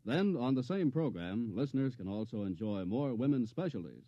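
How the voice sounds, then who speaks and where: A 1950s American radio announcer with a mid-pitched man's voice, reading promotional copy. The sound is a dry, dull vintage broadcast recording with little top end. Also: big pitch swings, moderate pace, no room sound.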